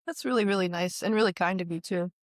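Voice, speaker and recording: An interviewer asking questions in a halting way, searching for words and restarting often. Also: mid-range voice, no echo, clean studio sound. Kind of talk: casual interview conversation.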